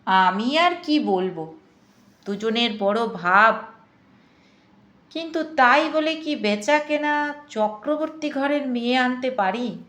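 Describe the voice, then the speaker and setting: Woman as proud matron, high and slow. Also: a bit bright. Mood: dismissive. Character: proud middle-aged landowner's wife, politely evasive. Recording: echoing room or reverb.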